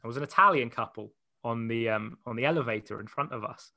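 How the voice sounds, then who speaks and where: A man, chatting as an interview guest, quick to laugh and full of anecdotes. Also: medium pitch, pushed and bright, no room sound. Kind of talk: casual chat.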